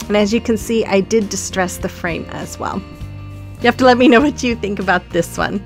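A woman host casually narrating her craft project in a relaxed, chatty voice-over with frequent ums. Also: fairly high pitch.

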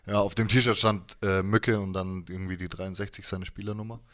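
A man in his thirties talks casually as an interview guest, with a fairly low voice. He is playful and joking, speaking into a handheld microphone in an indoor room. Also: little pitch movement, a little fast, fairly bright tone. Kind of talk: casual chat. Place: living-room-like music room indoors.

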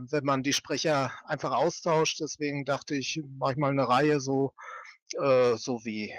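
Man, podcast guest, very slow pace, dry sound, hesitant, casual. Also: mid-range voice, dry recording. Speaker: podcast guest, audio drama author. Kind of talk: casual interview talk.

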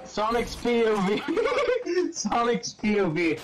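A man doing a mocking dramatic reading of fan fiction, halting and stumbling over words, with casual sweary asides. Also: very high-pitched, big pitch swings.

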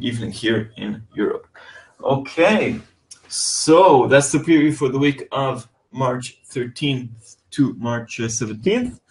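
Male market analyst, mid-pitched, loose unscripted delivery with fillers; weekly forex preview. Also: low-quality recording.

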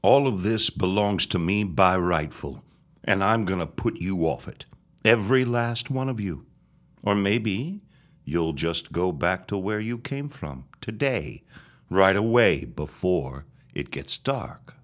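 An actor playing a menacing, self-righteous reverend in a period audio drama, grandiose and taunting. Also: male voice, low voice, animated. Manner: slow, grandiose, preacherly threatening.

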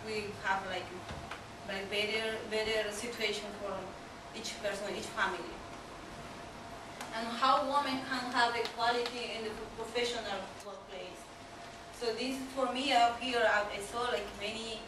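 A woman's voice: a little high, steady tone. Young woman, Peruvian Spanish accent, informal talk in hesitant English, picked up from afar in a noisy small meeting room. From Peru. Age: young adult. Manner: halting, searching for words in non-native English. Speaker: exchange trainee giving a talk. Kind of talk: informal presentation to colleagues. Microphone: far camera microphone. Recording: distant, very echoey room, clear background noise.

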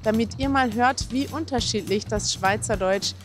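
A young woman presenting a language lesson on a busy city street, talking clearly and upbeat into a handheld mic. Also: fairly high pitch, normal speed, music in the background. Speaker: host.

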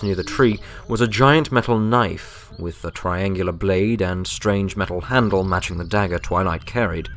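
A man's voice: low voice, a bit bright, slight background noise. A man reading scripted fiction as a story narrator.

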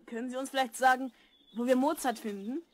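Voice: high voice, fairly quick, natural voice. A performer playing a curious, earnest twelve-year-old schoolboy in a children's radio play. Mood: polite. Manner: inquisitive, earnest.

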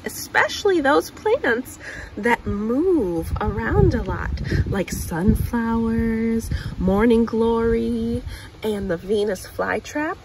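Slow, expressive woman reading to children. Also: fairly high pitch, low-quality recording. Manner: expressive, deliberate reading with emphasized words. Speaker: storytime host reading to children. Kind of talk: read-aloud picture book narration for children. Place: outdoors on grass. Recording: clear outdoor ambient noise.

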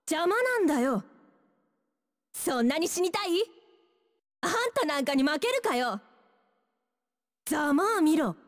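A tough young brawler girl for a fighting game, high and wildly animated. She is coarse, rude and aggressive, spitting sneering taunts, with reverb trailing behind.

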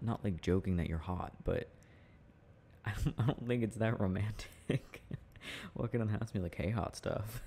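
A young man speaking in a playful, affectionate, halting murmur, like an intimate, casual ASMR boyfriend roleplay, with a deep gravelly voice. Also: warm tone. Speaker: boyfriend roleplay audio performer. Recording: faint background noise.

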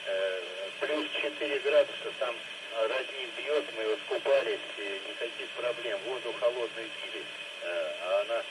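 A man's voice: mid-range voice, moderate pace. A man chatting casually as a radio operator, rambling and hesitant with many fillers. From Russia. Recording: noisy two-way FM radio, loud static.

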